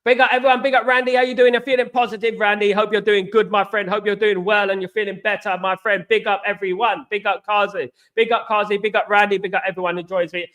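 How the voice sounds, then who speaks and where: A man's voice: sits high, little pitch movement, fast, few pauses, fairly bright tone. An English man hosting a casual livestream, chatting loosely, reading out viewer comments and greeting viewers by name. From England. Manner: chatty, repeats "absolutely" and "big up". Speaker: livestream host.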